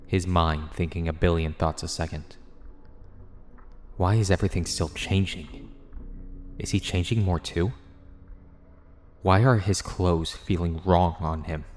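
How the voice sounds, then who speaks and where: A man's voice: low-pitched, steady tone, measured pace, pauses often, a little hiss or hum. A man reading scripted story narration as the narrator of an audio drama.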